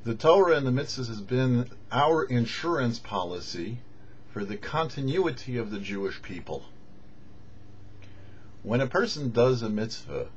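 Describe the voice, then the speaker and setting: Expressive male rabbi, animated intonation. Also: mid-range voice, slightly rough, dull audio. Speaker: rabbi, host of a weekly Torah show. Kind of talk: scripted religious teaching.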